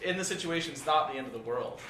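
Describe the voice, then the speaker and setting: Man, high voice, joking podcast banter, far from mic, noisy echoey room. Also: animated, quick pace, pushed and bright. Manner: joking, laughing, trailing off. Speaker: podcast co-host. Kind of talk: casual podcast chat.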